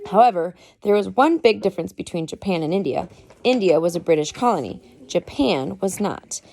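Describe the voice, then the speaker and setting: Expressive, creaky-voiced woman reading aloud. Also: fairly low pitch, brisk. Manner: expressive, with dramatic emphasis and explanatory asides. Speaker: parent reading aloud to children. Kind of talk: read-aloud of a biography with informal asides.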